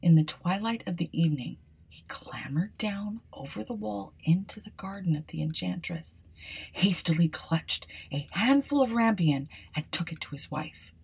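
A woman reading an old fairy tale aloud for a podcast, often dropping to a hushed whisper. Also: lowish voice, lively intonation, normal speed, creaky, slightly rough. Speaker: podcast storyteller.

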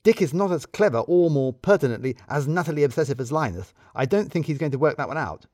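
A man puts on a tart, quick-witted female office colleague for an audio story. The voice sits higher, with lively pitch and a pointed, wry delivery. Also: no room sound, clean studio sound.

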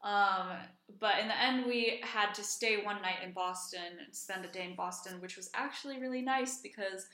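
A woman's voice: medium pitch, moderate pace, hardly any pauses, bright, forceful tone. A young adult woman from Iceland chatting casually and emphatically as a podcast co-host. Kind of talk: casual chat. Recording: roomy, echoey sound.

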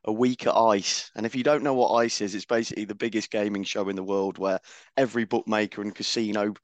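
A man with a croaky voice, co-hosting a podcast in loose, casual chat. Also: lowish voice, fairly even intonation, quick pace, no room sound. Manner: chatty, loose, with many restarts. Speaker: co-host.

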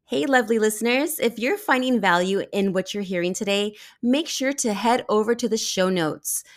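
A woman in her forties hosting a podcast, chatting casually, bubbly and quick to laugh. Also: medium pitch, faint music behind. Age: 40s. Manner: bubbly, laughs often, lively exclamations. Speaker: podcast host. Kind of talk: casual interview chat.

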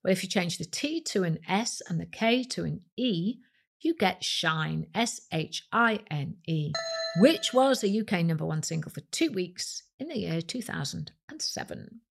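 British woman quiz host, very animated intonation. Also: on the low side, moderate pace, creaky. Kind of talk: scripted quiz narration addressed to listeners.

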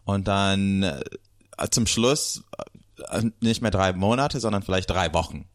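A man's voice: sits low, measured pace, no echo, clean studio sound. A man, an English speaker, casually telling his own story in conversation. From New York, United States. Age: 30s. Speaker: guest, former lawyer turned comedian.

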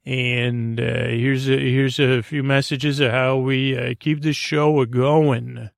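A man hosting a bedtime podcast in a meandering, halting, goofy monologue meant to lull listeners to sleep, with a gravelly voice. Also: mid-range voice, flat delivery, no echo, studio quality. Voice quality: gravelly, creaky. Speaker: podcast host.

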